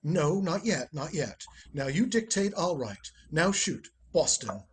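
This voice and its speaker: Man playing urbane male ex-husband, dry, polite, animated intonation, radio play, noisy background. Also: on the high side, measured pace. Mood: urgent, scheming. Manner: dry, polite, wryly amused. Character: wry, urbane, sober ex-husband, unruffled by a drunk visitor.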